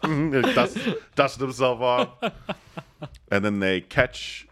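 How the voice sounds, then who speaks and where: A man co-hosting a casual podcast, recapping a film in excited chat and acting out quotes in funny voices. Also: mid-range voice, slow pace. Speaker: podcast co-host.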